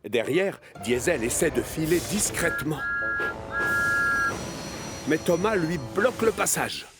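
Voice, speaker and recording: A man with a slightly high voice tells a children's animated tale as a scripted narration. He is expressive and storyteller-like, with music clearly playing behind him. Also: unhurried.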